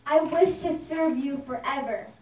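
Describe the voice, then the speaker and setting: High-voiced devout child, acted by a woman, echoey. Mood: reverent. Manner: earnest, reverent, measured delivery. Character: devout, joyful, compassionate saintly child praying to his Lord. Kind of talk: devotional puppet show dialogue. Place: live puppet show in a reverberant room. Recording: echoey room, distant microphone.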